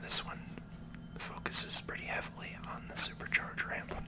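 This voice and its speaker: Whispered ASMR gaming commentary. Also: moderate pace. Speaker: video game playthrough host.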